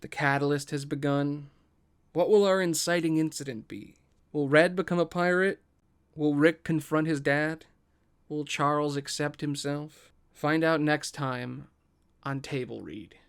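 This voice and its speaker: A man's voice: on the high side, fairly even intonation, unhurried, smooth. A man hosting and narrating a scripted table read podcast.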